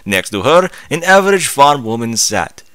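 Guy reading fanfic out loud, very animated, dry sound, kinda mocking. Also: mid-range voice, normal speed, clean tone, no room sound. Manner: mocking, incredulous interjections. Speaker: fan fiction reader. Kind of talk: dramatic reading with mocking asides.

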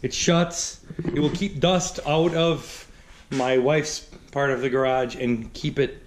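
A man's voice: medium pitch, steady tone, slow pace, a little hiss or hum. A man in his thirties hosting a casual workshop vlog, talking upbeat while he works in a large garage shop, picked up by an action camera. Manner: chatty, upbeat, talks while working. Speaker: woodworking channel host. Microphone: action camera on body or nearby. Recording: echoey garage with music behind.

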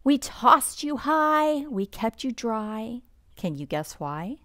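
A woman reading a bedtime picture book aloud in a gentle, expressive, unhurried storybook manner. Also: fairly high pitch, no echo, studio quality.

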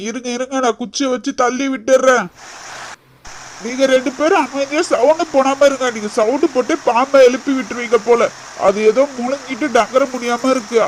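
A performer voicing a bossy, confident young village husband, brisk and repetitive, in an animated story. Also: high voice, a little hiss or hum, close to an everyday voice. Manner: brisk, bossy, repeats himself.

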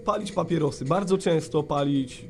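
A man's voice: on the high side, moderate pace, a little mellow, faint music behind, close to an everyday voice. A man playing a mock-serious, wordy and theatrically polite phone-in host in a parody radio play. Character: glib, mock-solemn male radio phone-in host, secretly fed up.

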